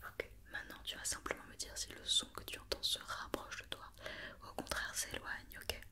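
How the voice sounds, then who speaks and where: A woman whispering an ASMR roleplay as a doctor giving an examination, with soft, reassuring and encouraging step-by-step instructions. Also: moderate pace. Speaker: ASMR performer playing an occupational doctor examining a robot.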